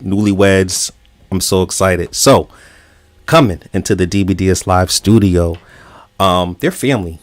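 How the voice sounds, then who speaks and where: A man's voice: low-pitched, a little slow, no room sound. A man hosting a relationship talk show, earnest and encouraging, using filler words as he talks.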